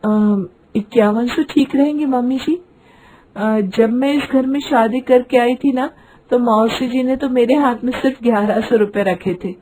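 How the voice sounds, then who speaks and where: A woman's voice: on the high side, unhurried, dark tone, voice pushed up, rough recording. A woman voicing a practical young daughter-in-law, polite and hesitant yet frank, in a cartoon story. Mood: hesitant objection. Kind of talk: acted cartoon story dialogue.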